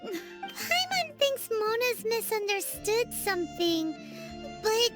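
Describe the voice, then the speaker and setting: An extremely high, squeaky little fairy girl from a video game. She is childlike, eager and bouncy in pitch, over loud music. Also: sing-song, slow pace, exaggerated voice. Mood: hesitant. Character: tiny childlike female fairy companion, chirpy and eager.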